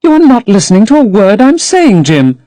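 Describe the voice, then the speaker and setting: Man voicing young actress, infatuated, gushing, high lilting voice, dry sound. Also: huge pitch swings, normal speed, warm tone, no room sound. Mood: pleading. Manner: rapturous, gushing.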